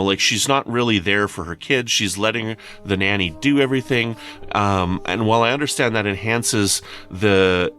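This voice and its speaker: A man's voice: sits low, fairly bright tone. A man giving casual spoken feedback as a podcast listener, talking in a hesitant, rambling way.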